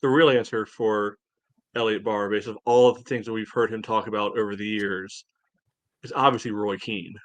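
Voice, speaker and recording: Low-voiced man bantering on a dry-sounding podcast. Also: steady tone, moderate pace, no room sound. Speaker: podcast co-host. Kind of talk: casual soccer podcast banter.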